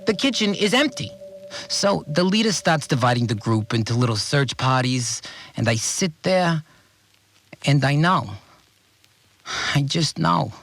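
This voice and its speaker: Irritable male cleaner, fastidious, blunt complaints, very animated pitch, audio drama diary, music underneath. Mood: wary, grumbling.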